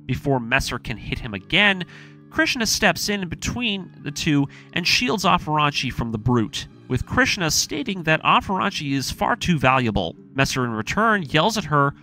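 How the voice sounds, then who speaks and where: A man reading a scripted story recap as narrator, telling it in an animated, present-tense storytelling manner. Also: fairly high pitch, normal speed, faint music behind.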